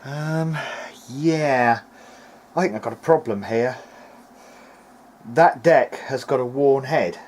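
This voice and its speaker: A man's voice: mid-range voice, takes it slowly, darkish tone. Hesitant man thinking aloud, noisy recording. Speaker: hobbyist video maker repairing vintage audio gear. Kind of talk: casual unscripted workbench commentary. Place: home workbench, speaking behind a handheld camera. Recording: clearly noisy amateur home recording.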